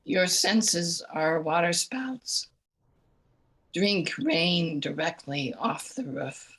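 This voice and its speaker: Slow raspy woman reading poetry. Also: sits low, low-quality recording. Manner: soft, slow, deliberate pauses. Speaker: poetry reader. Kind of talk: slow reading of a poem aloud. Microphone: video call.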